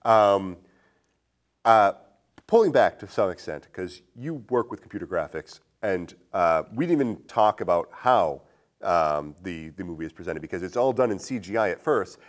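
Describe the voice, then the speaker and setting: A man with a lowish voice hosting a casual film discussion, thinking aloud as he frames probing questions. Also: lively intonation, moderate pace. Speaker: podcast host.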